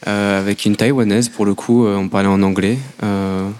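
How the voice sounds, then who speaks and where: French guy guest answering questions, lowish voice, hesitant and measured. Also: little pitch movement, a little mellow. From France. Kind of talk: interview answers.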